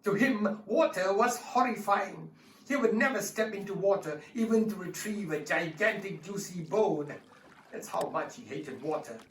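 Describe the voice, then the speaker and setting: A man telling a dramatised story for children, expressive and speaking directly to his listeners. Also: sits high, normal speed, slight background noise. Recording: roomy, distant mic with faint music.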